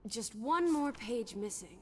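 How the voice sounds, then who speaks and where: A woman's voice: a little high, animated, unhurried, husky. An actress playing a cheeky, teasing young 1920s girl companion in a video game, playful and sassy with period slang. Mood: curious, playful. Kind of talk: lively video game dialogue. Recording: long echoing reverb, background noise.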